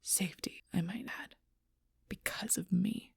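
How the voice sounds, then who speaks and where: A woman playing a gruff, stubborn, protective female alpha werewolf in an intense audio roleplay, blunt and often hushed, with a husky voice. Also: mid-range voice, unhurried, dry recording, studio quality, full character voice. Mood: hushed, grim. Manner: intense, blunt, swearing, often dropping to whispers.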